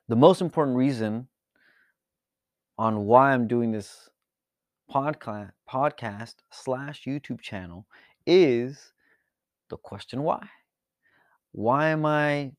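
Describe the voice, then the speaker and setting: A man from Mexico and the United States giving an informal, reflective monologue as a channel host, speaking earnestly and haltingly, repeating words for emphasis. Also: mid-range voice, no echo, clean studio sound. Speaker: podcast and YouTube channel host.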